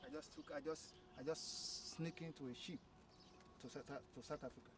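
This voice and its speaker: A man, telling his story as an interview guest, halting and repeating words. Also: fairly high pitch, steady tone. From Nigeria. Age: 30s. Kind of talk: casual conversation. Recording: distant mic with clear background noise.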